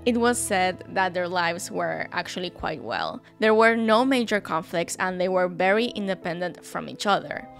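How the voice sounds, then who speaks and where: Young argentine woman, spanish accent, chatty true crime vlog, studio mic at home, soft music behind. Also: fairly low pitch, animated, normal speed, gravelly, few pauses. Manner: chatty, asides to viewers. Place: home room, indoors. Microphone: studio mic on stand.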